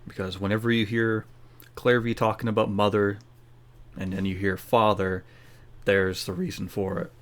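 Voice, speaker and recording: A man's voice: on the low side, level tone, normal speed. A man giving casual, chatty commentary as he streams a game playthrough, in a laid-back manner. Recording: faint game sound behind voice.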